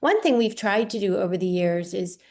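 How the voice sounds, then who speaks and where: A woman's voice: medium pitch, expressive, moderate pace, a little mellow. A woman professor with a mid-range voice explains a topic as a radio guest. She is articulate and knowledgeable. She sometimes repeats a word as she goes. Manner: articulate, expert, lightly repeated words. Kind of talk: radio interview.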